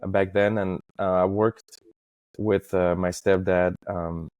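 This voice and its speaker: Low-voiced man, very even in pitch. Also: slow pace, dry recording, muffled recording. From Estonia. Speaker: podcast guest, facade industry professional. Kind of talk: casual interview, recounting career.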